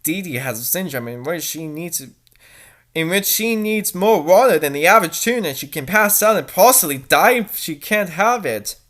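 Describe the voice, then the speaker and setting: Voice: mid-range voice, lively intonation, moderate pace, a bit bright. A host giving a mocking dramatic reading of fan fiction, with sarcastic, exaggerated delivery and commentary.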